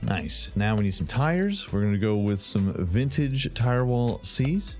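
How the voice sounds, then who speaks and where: A man with a fairly low voice talks through a car repair game in a playful, goofy way. His pitch swings widely as he tosses out sound effects and snatches of song. Faint background music plays beneath him. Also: very animated, unhurried, darkish tone. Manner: goofy, sings and makes silly sound effects. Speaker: gaming channel host. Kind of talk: playful gameplay commentary.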